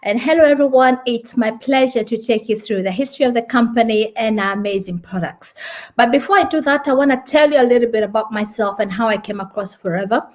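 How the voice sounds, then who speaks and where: A woman's voice: a little high, brisk. A woman in her forties from Kenya, sharing her story with enthusiasm and some hesitant restarts. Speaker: lawyer and business presenter. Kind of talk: personal story and company presentation.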